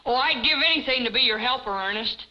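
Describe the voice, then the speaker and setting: A man's voice: very high voice, quick pace, bright, forceful tone, heavily acted. A man playing a simple, eager young sidekick, boyish and earnest, in a 1950s television comedy. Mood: earnest, admiring. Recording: dull vintage 1950s TV soundtrack.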